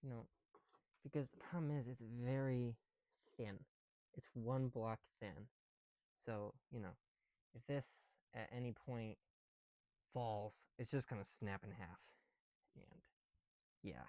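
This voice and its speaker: Soft, mellow voice muttering casually, very dry sound. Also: medium pitch, slow pace, pauses often, no room sound. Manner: informal, muttering to self, sweary. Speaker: ASMR video creator. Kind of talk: casual rambling ASMR while building with bricks.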